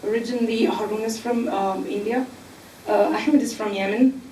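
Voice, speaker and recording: A woman from Egypt speaking on a panel, explaining earnestly with filler pauses. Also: medium pitch, fairly even intonation, mellow, far from the mic, a little hiss or hum. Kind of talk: panel discussion. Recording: echoey room, mic from afar.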